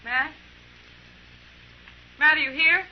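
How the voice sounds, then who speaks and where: A woman's voice: high voice, takes it slowly. An earnest, emotional young frontier woman in a radio western melodrama. She sounds desperate and defiant. The sound is muffled, with faint vintage hiss. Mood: pleading. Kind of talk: old-time radio melodrama acting.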